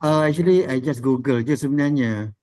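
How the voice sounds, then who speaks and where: A man's voice: medium pitch, a little fast, warm tone. A man with a Malaysian accent introducing himself casually on an online call, hesitantly switching between Malay and English. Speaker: webinar participant, company director. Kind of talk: casual self-introduction and chat.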